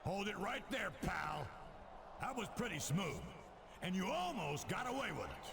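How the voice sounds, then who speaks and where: An actor playing a blustering, authoritative wrestling boss making indignant rulings in a game cutscene. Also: male voice, high voice, huge pitch swings, moderate pace, creaky, background noise, full character voice. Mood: indignant, commanding. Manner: forceful, commanding, indignant. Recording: loud music over game audio. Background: loud music.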